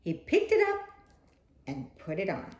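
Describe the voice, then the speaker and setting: Female storyteller for children's read-aloud: very lively intonation, unhurried, playful and dramatic, recorded in an echoey room. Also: mid-range voice. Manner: animated, dramatic, with playful asides to a young listener. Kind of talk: expressive children's picture book read-aloud.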